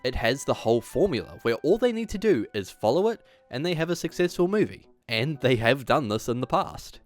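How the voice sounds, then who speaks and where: A man's voice: mid-range voice, very animated, brisk, faint music behind. A man hosting a video essay, reading a script with emphatic delivery and dropping in casual asides.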